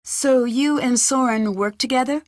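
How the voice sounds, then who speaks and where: A woman's voice: high-pitched, a little slow, no room sound, studio quality. An actress playing a polite, inquisitive young woman detective in a video game, with an earnest, probing way of talking. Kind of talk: video game character dialogue.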